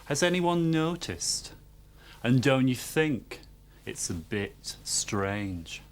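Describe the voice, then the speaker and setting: Man, sardonic, drawling, slow, animated pitch. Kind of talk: rhetorical questioning.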